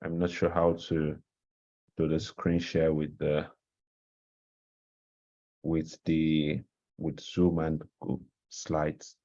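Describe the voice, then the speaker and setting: A man giving an explanatory presentation on a video call, haltingly searching for words. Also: rumbling low, completely flat delivery, dark tone, dull audio. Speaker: presenter of a learning module. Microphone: headset on a video call.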